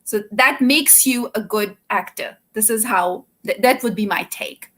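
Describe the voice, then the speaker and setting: A woman's voice: fairly high pitch, a little slow, a bit bright, slightly rough. A woman hosting a casual interview, encouraging her guest with frequent short replies. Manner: encouraging, frequent yes and mm-hmm. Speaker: host.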